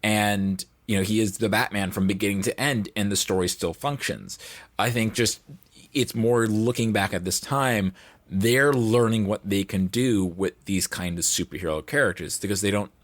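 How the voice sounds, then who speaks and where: A man's voice: fairly low pitch, moderate pace, no room sound. A man talking casually and emphatically about pop culture as a podcast guest.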